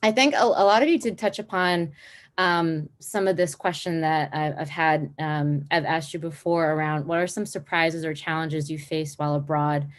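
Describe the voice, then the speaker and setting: A woman's voice: low voice, fairly even intonation, moderate pace. A woman moderating a panel, hesitant and searching for words as she asks questions.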